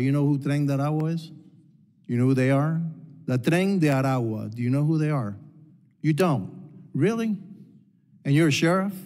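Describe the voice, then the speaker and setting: A man's voice: fairly high pitch, darkish tone. A man from Cuba, a congressman pressing a witness with repeated pointed questions at a hearing. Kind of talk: heated committee hearing questioning. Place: large hearing room. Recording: long reverb of a hearing room.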